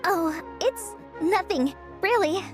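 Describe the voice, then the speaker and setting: Young ranger girl, extremely high voice, lively intonation, modest, earnest, polite, video game acting. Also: slow pace, over music, full character voice. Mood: flustered, modest. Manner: hesitant, self-effacing, sincere.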